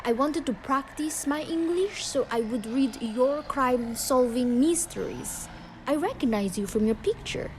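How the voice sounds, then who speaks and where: An actress playing a young, quietly bold Argentine housemaid with a Spanish accent and halting, clipped phrasing in an audio drama. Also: female voice, high-pitched, lively intonation, background noise. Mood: knowing, confiding. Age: young adult.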